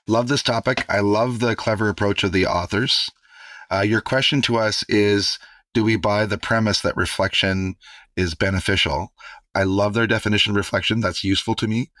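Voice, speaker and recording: A man co-hosting a podcast, a physician, hoarse from a virus and talking in an enthusiastic, joking way. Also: on the low side, fairly even intonation, normal speed, fairly bright tone, no room sound.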